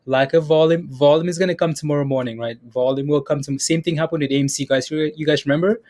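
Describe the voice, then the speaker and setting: A man's voice: a little high, steady tone, quick pace, darkish tone. A man with a slightly raised voice hosts a live market show, talking loosely and repeating himself as he answers viewers. He laughs easily and keeps checking in with his audience. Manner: chatty, repeats phrases, reads chat names aloud, laughs often. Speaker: stock trading livestream host. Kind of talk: casual live market commentary.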